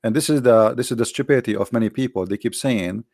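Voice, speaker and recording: A man's voice: medium pitch, darkish tone, no echo. Combative man lecturing in a dry room. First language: Arabic. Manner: combative, lecturing, drawn-out emphasis with halting fillers. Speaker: religious commentator. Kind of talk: lecturing video with on-screen text.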